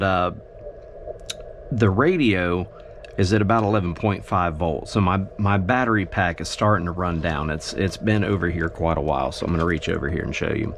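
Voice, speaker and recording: Deep voiced guy casually walking thru his radio gear, kinda halting, thinking out loud, bit of noise behind him. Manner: halting, thinking aloud as he explains. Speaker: amateur radio hobbyist demonstrating his gear. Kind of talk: casual unscripted demonstration talk. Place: indoors in a home radio shack. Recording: clear steady background noise.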